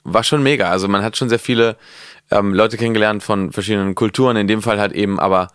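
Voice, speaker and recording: A man's voice: lowish voice, fairly even intonation, quick pace, a bit bright, clean tone, dry recording, clean studio sound. A young man from Spain, chatting casually as a podcast guest and telling stories with easygoing fillers. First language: Spanish. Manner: easygoing, storytelling, frequent fillers. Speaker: guest singer.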